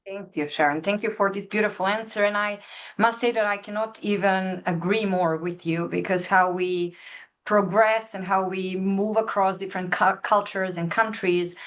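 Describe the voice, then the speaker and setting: A woman moderating a webinar panel over a video call, gracious and quick to thank her guests. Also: mid-range voice, steady tone, a bit bright.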